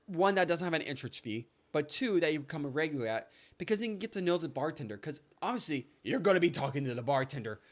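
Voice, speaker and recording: Animated young man telling stories. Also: fast talker, hardly any pauses. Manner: animated, rising to high-pitched excitement in stories. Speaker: podcast co-host. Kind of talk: casual storytelling chat.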